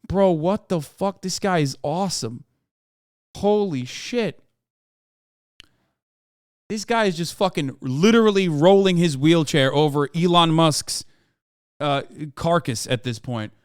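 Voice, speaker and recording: Man, streamer, smooth clear voice, dry close sound, casual swearing, exclamatory banter. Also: on the high side, expressive, slow pace, long pauses, dry recording. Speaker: streamer host. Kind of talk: live reaction commentary, casual and profane.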